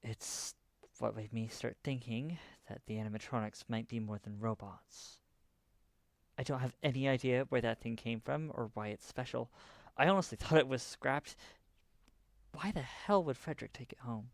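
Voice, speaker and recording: An actor plays an anxious, helpful friend in an audio drama. The voice has plenty of fry and sounds casual and concerned, in a very dry, close recording. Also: mid-range voice, moderate pace, no echo, lightly acted. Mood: earnest, puzzled. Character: Sam, anxious, helpful acquaintance checking in.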